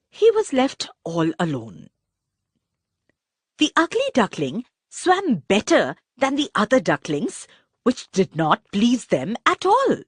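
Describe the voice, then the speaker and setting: A woman reads a children's story in a high-pitched voice that swoops widely. She takes her time, telling it expressively and deliberately. The recording is dry and close. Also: breathy edge, no room sound. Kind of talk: scripted narration of a children's story.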